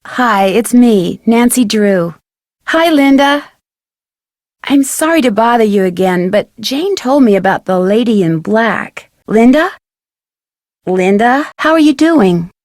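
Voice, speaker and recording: Young girl detective from a video game, highish voice, curious n polite, really dry close sound. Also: lively intonation, takes it slowly, no room sound. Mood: gentle concern. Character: young female amateur detective, friendly, curious, persistent. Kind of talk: scripted adventure game dialogue.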